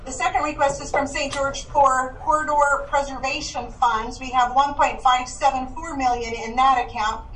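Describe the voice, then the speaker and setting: A woman on a call giving a financial update, reading out figures in a brisk, matter-of-fact way. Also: high voice, steady tone, a bit bright. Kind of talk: remote financial report. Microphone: heard through a call speaker in the room. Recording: distant, echoey call audio.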